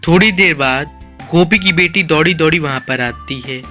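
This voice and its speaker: A woman's voice: low voice, moderate pace, bright, forceful tone, faint music behind. A woman reading scripted story narration as the narrator.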